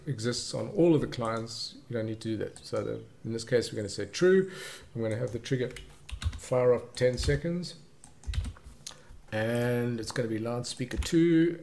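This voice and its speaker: A man presenting a casual software tutorial, explaining things and defining terms as he goes. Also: mid-range voice, gravelly.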